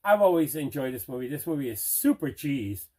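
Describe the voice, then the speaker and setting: An enthusiastic man with a mid-pitched voice presents items from his collection. He talks conversationally, in the casual manner of an unboxing video. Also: sing-song, a little fast, warm tone. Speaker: movie collector, video host.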